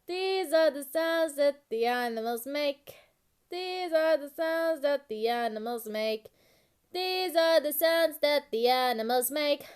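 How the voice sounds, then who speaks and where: A woman delivering a children's animal-sounds rhyme in a simple, repetitive, deliberate sing-song. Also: high-pitched, animated, faint music behind.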